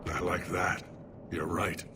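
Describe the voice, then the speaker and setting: Low, blunt alien commander amid noise. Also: male voice, level tone, slow. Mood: solemn. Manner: blunt, grave. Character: blunt, battle-hardened male alien military commander. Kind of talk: video game cutscene acting. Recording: loud battle and vehicle noise behind.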